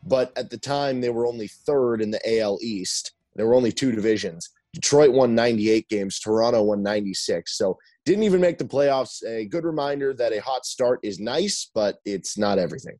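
A man hosting a sports podcast in casual chat, hoarse and losing his voice, running through stats in a relaxed way. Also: mid-range voice, moderate pace, few pauses, no room sound. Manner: relaxed, lists stats conversationally. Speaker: podcast host.

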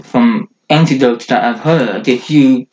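A man talks casually, rambling and joking as he circles back and restarts his sentences. His voice is mid-pitched and the recording sounds a little dull, with faint noise underneath. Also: measured pace, a little mellow. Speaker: podcast co-host, multisport athlete. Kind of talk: casual chat.

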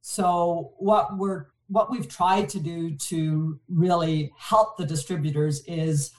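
A woman from Montreal whose first language is French, talking in an animated way as a podcast guest. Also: fairly low pitch, slow pace, far from the mic. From Montreal, Canada. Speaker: podcast guest, distribution manager. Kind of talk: casual interview chat. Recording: echoey, set back from the mic.

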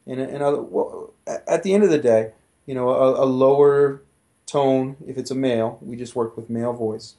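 A man's voice: mid-range voice, normal speed, dark tone. A middle-aged man, a professor guest, explaining his research conversationally with frequent restarts and "you know".

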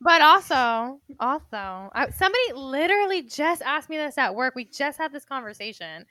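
A young woman with a Cuban accent co-hosting a podcast in animated, slangy chat. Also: high-pitched, normal speed, no room sound. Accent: Cuban Spanish-influenced English. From Cuban heritage. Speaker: podcast co-host. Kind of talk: casual chat.

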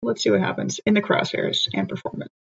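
A woman's voice: mid-range voice, very animated, quick pace, gravelly, a bit bright, breathy edge. Woman thinking aloud, lo-fi mic. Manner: thinks aloud while weighing her options. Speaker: player. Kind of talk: playing a tabletop game. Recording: slightly dull lo-fi mic.

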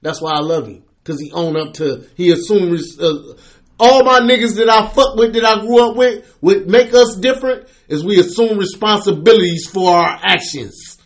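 A man's voice: sits high, normal speed. A man in his late forties from the US Midwest, co-hosting a podcast and telling blunt, preachy stories with plenty of profanity. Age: 40s. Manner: forceful, preachy storytelling, profane. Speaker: podcast co-host. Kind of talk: casual debate and storytelling.

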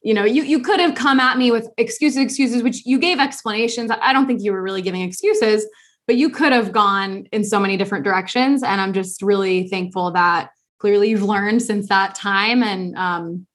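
A woman's voice: medium pitch, normal speed, fairly bright tone. A young adult woman hosting a podcast, talking earnestly in casual conversation with lots of fillers and restarts. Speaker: podcast host.

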